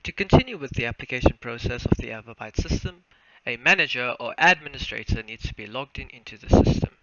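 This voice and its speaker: A young South African man giving a formal, step-by-step narrated walkthrough of software. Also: mid-range voice, animated, fast. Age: young adult. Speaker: student software developer presenting a demo. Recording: muffled lo-fi mic, faint noise, clicks.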